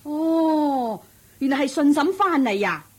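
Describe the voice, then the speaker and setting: A woman playing a chatty, effusive middle-aged neighbour auntie in a radio drama, coaxing and quick to chuckle. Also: sits high, expressive, darkish tone. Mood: curious, coaxing. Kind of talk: Cantonese radio drama acting.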